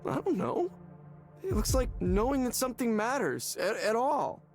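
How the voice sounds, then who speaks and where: Despairing, stammering man, wildly animated pitch. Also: exaggerated voice. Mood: bitter, desperate. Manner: halting, stammering, pleading. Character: despairing, agitated young man in a counseling session. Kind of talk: naturalistic visual novel voice acting. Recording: music behind the voice.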